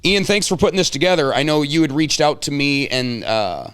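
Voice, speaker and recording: Middle-aged American man hosting, slightly higher-pitched. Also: animated, brisk, a bit bright, clean tone. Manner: joking, folksy banter. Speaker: show host interviewing guests. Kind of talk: casual chatty interview.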